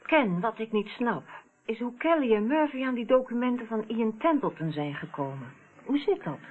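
A woman's voice: a little high, sing-song, moderate pace, breathy edge. An actress playing a curious, chatty woman in a Dutch radio play, on an old narrow-band recording.

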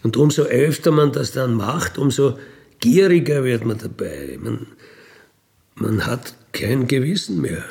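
An older man with an Austrian accent telling a reflective personal story in a halting, colloquial way with little questioning tags. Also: mid-range voice, creaky, a little mellow. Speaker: former prisoner telling their life story. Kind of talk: reflective autobiographical monologue.

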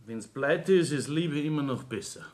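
A man's voice: fairly high pitch, expressive, normal speed, natural voice. A man acting a needling, opportunistic tavern regular in a 1960s German radio play.

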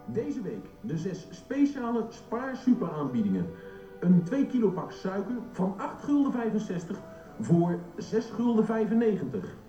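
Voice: mid-range voice, very animated, unhurried, distant mic. An actor playing a supermarket announcer reading out special offers in scripted promotional patter. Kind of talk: store announcement within a radio play. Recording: muffled, echoey store loudspeaker with music.

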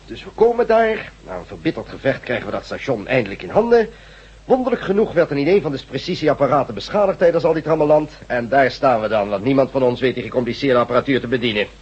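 A man playing an impatient, sardonic resistance leader in a Dutch radio drama, brisk and authoritative. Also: medium pitch, animated, darkish tone, husky. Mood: sarcastic. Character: commanding, impatient male leader of a resistance group. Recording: dull, muffled radio play recording.

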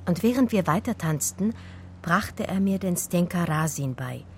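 An actress playing a wistful German art historian, narrating memories of a lost lover in a measured, intimate radio drama style. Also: female voice, on the low side, expressive, darkish tone, slight background noise. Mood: sober recollection.

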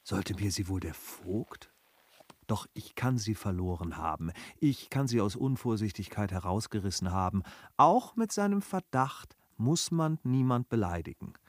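An actor playing a polite, suspicious gentleman in a radio play, measured and articulate, with insinuating questions. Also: lowish voice, lively intonation, no room sound. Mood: pondering. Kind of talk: German radio play acting of classic comedy.

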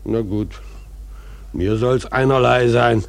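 A man's voice: mid-range voice, takes it slowly, creaky. A man playing a plain-spoken ship's carpenter in a German radio drama, muttering and deferential but unbothered. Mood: stolid, muttering.

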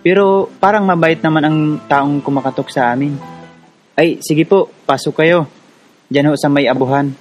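A man's voice: on the high side, moderate pace, long pauses, soft background music, close to an everyday voice, low-quality recording. A man with a Filipino accent playing an earnest, curious, polite young villager in a radio drama. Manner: respectful, polite. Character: earnest, curious, polite poor rural young man recounting his past. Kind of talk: radio drama acting and narration in Tagalog.

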